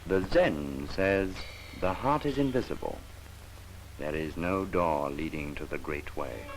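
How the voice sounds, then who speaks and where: A man narrating a scripted 1950s educational film in a solemn, measured, poetic manner. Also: rumbling low, animated. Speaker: documentary narrator. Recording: noisy 1950s archival film soundtrack.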